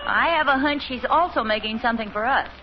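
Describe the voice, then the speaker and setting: An actress playing a pleasant, chatty, gently teasing American suburban mother in a 1950s radio sitcom, with an easygoing conversational manner. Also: a little high, fast, over a noisy crowd, natural voice. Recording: muffled old radio recording, faint noise.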